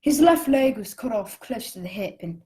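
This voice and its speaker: Earnest boy adventurer voiced by a woman, fairly high voice, very lively intonation, radio play narration. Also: normal speed, lightly acted. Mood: earnest, wondering. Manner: earnest, storytelling. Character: earnest young cabin boy recalling his adventure.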